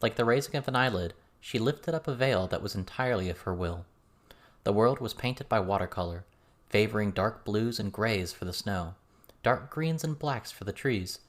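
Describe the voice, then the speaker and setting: Low-voiced man narrating an audiobook. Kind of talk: scripted narration of fiction, fan-made audiobook.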